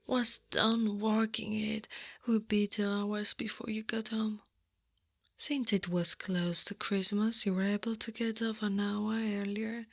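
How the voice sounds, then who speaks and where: A woman voicing a stern yet tender female Krampus in a breathy, whispered ASMR roleplay, soothing and coaxing. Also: medium pitch, fairly bright tone, no echo, clean studio sound, exaggerated voice. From Italy. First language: Italian. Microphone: close ASMR microphone.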